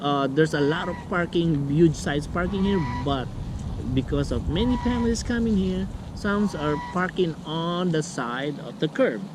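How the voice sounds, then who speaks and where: A middle-aged man speaks English as a second language, chatty and halting, with a slightly raised voice. He is recorded by a camera on a lakeside park table, with clear outdoor noise behind him. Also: on the high side. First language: not English. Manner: chatty, halting, with many restarts and fillers. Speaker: vlog host. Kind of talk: casual family vlog chat.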